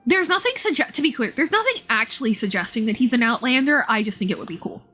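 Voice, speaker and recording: Lady streamer reacting to a game, bright higher voice, really opinionated, soft music behind her. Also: fast talker. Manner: animated, opinionated, swears casually. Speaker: livestreamer.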